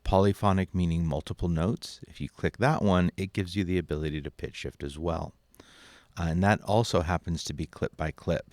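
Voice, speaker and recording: Low-voiced man giving a casual tutorial. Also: a little mellow, dry recording. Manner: informal, thinking aloud. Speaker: audio software instructor. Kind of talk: casual screen-recorded tutorial narration.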